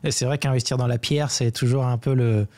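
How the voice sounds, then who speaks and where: Podcast host: man, mid-pitched, soft warm tone, casual interviewing. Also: fairly even intonation, fairly quick.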